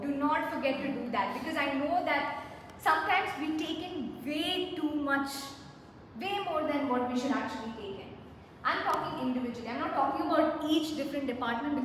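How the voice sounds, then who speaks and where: A high-pitched woman running an interactive workshop, asking the group questions and talking them through exercises. She is heard from a distance in a big echoey hall, with faint noise underneath. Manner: engaging, asks the audience questions, leads exercises. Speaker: workshop presenter. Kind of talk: interactive self-care workshop. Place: large hall with an audience.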